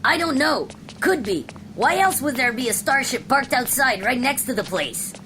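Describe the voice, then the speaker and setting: A woman's voice: sits high, sing-song, moderate pace, sharp tone, a little hiss or hum, full character voice. Woman as a casual, grumbling stranded spacefarer. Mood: puzzled, resigned. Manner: casual, slangy. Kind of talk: amateur sci-fi radio play.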